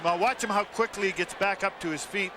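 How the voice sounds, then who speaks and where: A man's voice: sits high, brisk, background noise. A man giving live colour commentary and analysis at a hockey game. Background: hockey arena.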